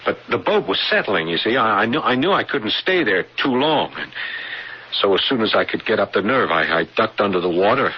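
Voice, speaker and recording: Radio play: troubled man telling a boyhood memory, low register, halting and repetitive, dull noisy vintage sound. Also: big pitch swings, breathy edge. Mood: tense, haunted. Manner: halting, stammering, repeating words. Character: haunted man recounting a frightening boyhood memory. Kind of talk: radio drama acting.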